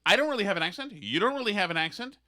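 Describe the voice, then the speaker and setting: A man hosting a podcast, ranting in an animated, sarcastic, mocking way. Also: high-pitched, racing. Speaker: podcast host. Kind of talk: opinionated podcast rant.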